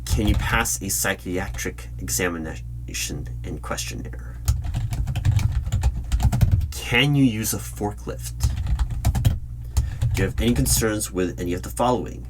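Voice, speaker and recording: A man with a low voice plays a polite but secretive government hiring manager in a spoken ASMR roleplay. He talks formally and deliberately, leaving pauses. A clear hum and the clatter of computer keyboard typing sit behind him. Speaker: roleplay video creator playing an interviewer. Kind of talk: ASMR roleplay, spoken rather than whispered.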